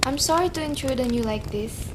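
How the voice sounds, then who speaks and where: A woman's voice: sits high, fairly quick, lightly acted. An actress playing a tender, secretive, wistful lady in a radio play. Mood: hesitant, sad.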